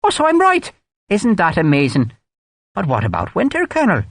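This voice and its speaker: Guy putting on a sly irish army major, sing-song sarcastic, pitch all over the place. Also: huge pitch swings, brisk, gravelly, dry recording, heavily acted. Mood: mocking. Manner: teasing, sweetly sarcastic.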